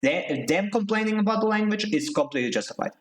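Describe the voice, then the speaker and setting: Ranting young man, higher voice. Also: animated, fast. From Slovakia. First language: Slovak. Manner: heated ranting with profanity and restarts. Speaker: streamer. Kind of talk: casual live-stream commentary.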